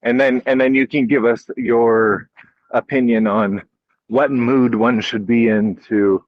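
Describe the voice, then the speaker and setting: A man's voice: medium pitch, steady tone, unhurried, no room sound. Male podcast host, slightly dull audio. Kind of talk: casual chat interview.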